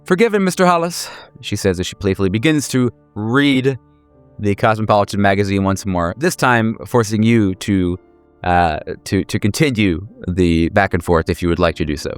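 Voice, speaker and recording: A man narrating an improvised tabletop game as game master, halting as he searches for words. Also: lowish voice, smooth, no room sound, soft background music.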